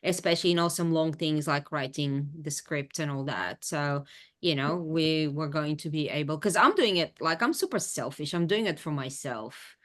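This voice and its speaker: A woman speaks with an accent mixed from many countries, her voice sitting a little low. She chats casually on a group video call. She is animated and laughs often as she tells stories. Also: normal speed. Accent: mixed international accent. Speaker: host of an online group call.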